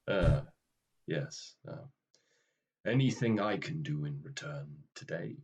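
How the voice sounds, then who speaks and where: Guy acting grim reaper type, low husky voice, slow and formal, weary, radio play. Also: heavily acted. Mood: reserved. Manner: formal, dry, understated.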